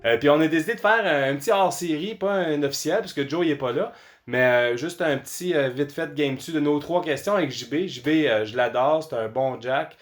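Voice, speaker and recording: A man's voice: on the high side, fairly quick, soft background music. A man with a Quebec French accent hosting a casual gaming chat in an informal, slangy way. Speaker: podcast host.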